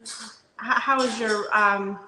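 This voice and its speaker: A woman's voice: a little high, fairly even intonation, takes it slowly, sharp tone. A woman from the Midwest hosting a live interview, friendly and curious, asking lots of questions. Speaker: show host. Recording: echoey room with crowd noise behind.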